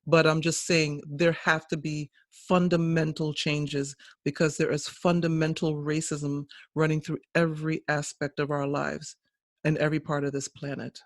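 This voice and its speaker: A man speaks earnestly in a podcast conversation, leaning hard on his points. His voice sits slightly high, and the recording is close and dry. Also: flat delivery, normal speed, dry recording. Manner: earnest and emphatic. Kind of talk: impassioned casual conversation.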